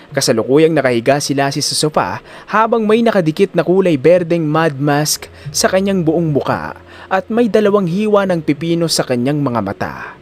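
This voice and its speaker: A man's voice: on the high side, big pitch swings, moderate pace. A man narrating a scripted dramatic story as an audio drama narrator.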